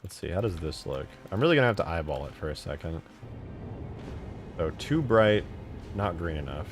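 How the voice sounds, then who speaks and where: A man's voice: deep voice, lively intonation, moderate pace, over music. A man live streaming while he works, casually thinking aloud and muttering questions to himself. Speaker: game modding live streamer.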